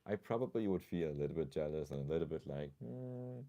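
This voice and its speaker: Male livestream host, extremely low mellow voice, a bit scratchy, casual and thoughtful. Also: expressive, fast. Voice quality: slightly scratchy.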